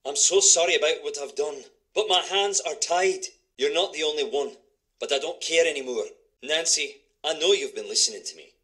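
A man's voice: fairly high pitch, moderate pace, sharp tone. An actor playing an edgy, secretive man in a video game, restless and terse. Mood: guilty, defiant. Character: edgy, secretive man working as reluctant informant.

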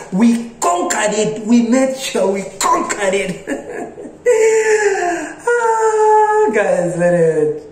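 A young man telling funny childhood stories to his phone camera, laughing often and slipping into high voices for the people he quotes. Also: sing-song, drawn-out words, long pauses. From Africa. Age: young adult. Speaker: vlogger. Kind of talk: casual comic storytelling to camera. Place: small bright indoor room. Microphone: phone held close at arm's length. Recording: echoey small room.

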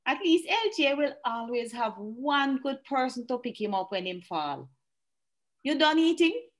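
A woman's voice: high-pitched, sing-song, a little slow. A woman playing a feisty, independent elderly farm woman with a Jamaican accent in a radio drama, firm and teasing. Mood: matter-of-fact.

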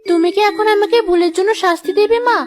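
A woman's voice: very high-pitched, fast talker, faint music behind. A woman playing a kind, humble poor girl who poses as a princess in a fairy-tale cartoon. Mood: affectionate.

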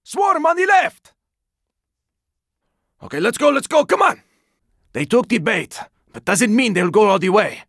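A man playing a gruff, weary, sardonic squad fighter in a zombie video game, speaking in terse lines with a raspy voice. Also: high voice, big pitch swings, measured pace, long pauses, fairly bright tone, dry recording, studio quality, heavily acted. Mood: urgent, shouting. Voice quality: raspy, gravelly. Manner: terse, urgent, swearing. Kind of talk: video game character combat barks.

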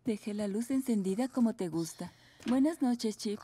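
A woman's voice: fairly high pitch, normal speed, noisy background, lightly acted. A woman playing a kindly, patient mother panda in a preschool cartoon. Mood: reassuring. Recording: music behind.

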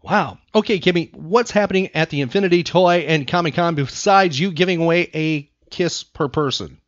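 A man hosting a podcast in casual banter with a co-host, talking in an animated, teasing way and repeating himself for emphasis. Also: on the high side, moderate pace, no echo, muffled recording.

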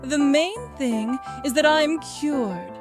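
Woman doing little girl pony, naive n eager, takes it real slow, music behind. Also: high-pitched, lively intonation, full character voice. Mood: eager, reassuring. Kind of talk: fan comic dub.

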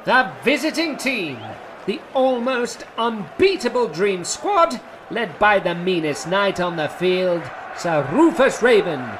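An actor playing an excitable, bombastic sports commentator at a medieval match in a comic audio drama. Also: male voice, high voice, takes it slowly, heavily acted. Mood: excited. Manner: shouting, bombastic. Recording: crowd noise behind the voice.

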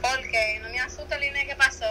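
A woman's voice: high voice, fairly even intonation, a little fast. Earnest young woman over noisy phone speaker. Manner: earnest, admonishing. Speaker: friend on the phone. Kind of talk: casual phone chat. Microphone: phone speaker picked up by camera.

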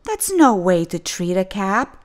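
A woman's voice: mid-range voice, very animated, a little slow. A woman voicing a reasonable, reproving mother shark in a children's storybook.

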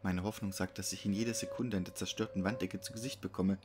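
A man's voice: low voice, steady tone, fast, noisy background. A man giving a scripted, dramatic narration of a horror story. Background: music behind the voice.

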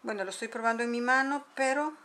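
A woman's voice: fairly high pitch, fast, very noisy. Woman explaining a homemade makeup recipe. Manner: patient step-by-step explaining while mixing powders. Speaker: makeup tutorial host. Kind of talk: casual how-to demonstration. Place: home room at a table. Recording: home video with clinking dishes. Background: clinking spoons and bowls.

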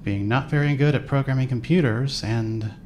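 A man's voice: medium pitch, moderate pace, a little mellow, a little hiss or hum. A man reading scripted second-person story narration for a fan audio drama. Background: clear music.

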